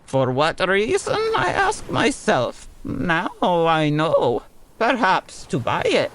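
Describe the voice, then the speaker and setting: Actress as a slow, swooping old Cuban woman. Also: on the low side, huge pitch swings, a little hiss or hum, heavily acted, rough recording. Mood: deliberate. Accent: Cuban Spanish. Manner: slow, dramatic, formal phrasing. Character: eccentric, world-weary old Cuban waterfront woman. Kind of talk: comedy audio drama acting.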